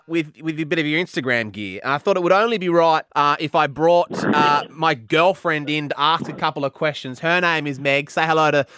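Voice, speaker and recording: A man's voice: fairly high pitch, fairly bright tone, smooth, no echo. A man hosting a casual radio show, playful and teasing with his co-host and guest. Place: radio studio.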